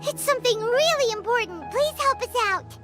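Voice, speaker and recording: A woman voicing a tiny, chirpy female companion from a game, who talks about herself by name in a squeaky voice. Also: expressive, normal speed, over music. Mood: pleading. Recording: game score playing behind.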